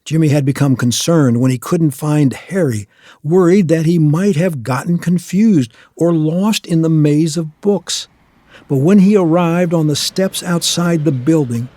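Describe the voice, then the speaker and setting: Mellow, husky male narrator. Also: on the high side, no echo. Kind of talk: scripted radio play narration.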